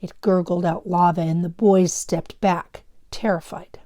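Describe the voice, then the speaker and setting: A woman's voice: fairly low pitch, steady tone, measured pace, mellow. A woman teaching fifth grade, explaining instructively and reading a story aloud to pupils.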